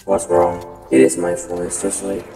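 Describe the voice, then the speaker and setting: The electronically lowered man you would hear in an online game squad, booming as if from a big hall, music underneath. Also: unhurried, gravelly. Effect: deep voice changer. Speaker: gaming video creator. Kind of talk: casual prank chat while gaming. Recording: echoing altered voice, music behind.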